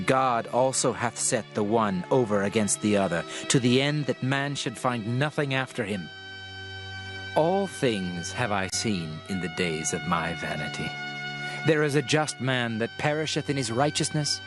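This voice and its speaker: A man giving a dramatized reading of King James scripture in a solemn, measured, deliberate way. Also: mid-range voice. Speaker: scripture narrator. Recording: music behind the voice. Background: music.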